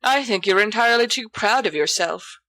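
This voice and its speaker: A woman's voice: mid-range voice, expressive, fairly quick, pushed and bright, no room sound. A woman voicing a reserved, bookish teenage boy with dry, understated sarcasm in an audiobook. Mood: wry. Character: reserved, dryly sardonic, bookish teenage schoolboy. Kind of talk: audiobook character dialogue.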